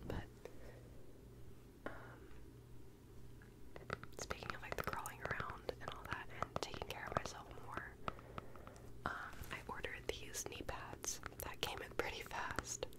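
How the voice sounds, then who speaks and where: A woman's voice: a little slow, long pauses, poor audio. A young woman whispering a casual, rambling ASMR check-in with a stuffed-up nose, in a small bedroom on a close microphone. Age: young adult. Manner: rambling, casual, many fillers. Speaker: ASMR creator.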